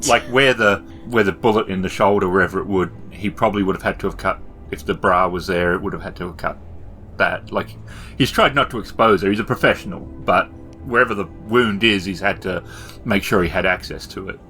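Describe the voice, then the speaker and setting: Low, bright-voiced man explaining game details. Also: brisk, faint music behind. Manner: rambling, self-correcting. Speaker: tabletop game player or storyteller. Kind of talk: casual explanatory game chat.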